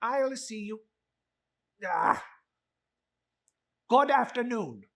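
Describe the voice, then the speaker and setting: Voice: medium pitch, very slow, fairly bright tone, voice pushed up. A performer voicing a bitter, miserly old uncle with a scornful, grumbling, emphatic delivery in a dramatic story reading. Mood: dismissive, angry.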